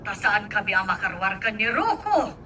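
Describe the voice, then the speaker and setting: A woman's voice: mid-range voice, big pitch swings, normal speed, sharp tone. An actress playing a stubborn, scheming middle-aged mother in an Ilocano radio drama. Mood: insistent, impatient. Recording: distant mic, faint background noise.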